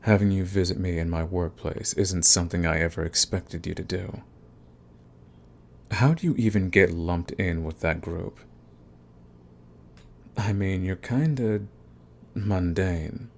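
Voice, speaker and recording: A man's voice: deep voice, measured pace, creaky, long pauses, a little mellow, heavily acted. A man playing a smug, flirtatious villain who is fond of the enemy, in an intimate ASMR romance roleplay with taunting, drawling menace. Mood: casual, fond. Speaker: audio roleplay voice actor.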